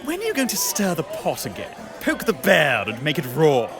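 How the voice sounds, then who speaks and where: An actor playing a jovial, teasing, card-playing toff in a period audio drama, playful, jeering and theatrical. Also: male voice, fairly high pitch, huge pitch swings, moderate pace, gravelly, over music, a crowd in the background, full character voice.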